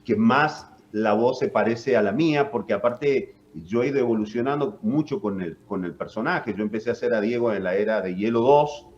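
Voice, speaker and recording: An Argentine man whose accent blends the north with Córdoba explains his work in a measured, chatty way, dropping in fillers and little tag questions. His voice sits mid-range and the recording sounds dull and lo-fi. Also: mid-range voice, darkish tone. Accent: Argentine, northern mixed with Córdoba. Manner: explanatory, with frequent fillers and "¿no?" tags. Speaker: voice actor and announcer. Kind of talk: radio interview guest.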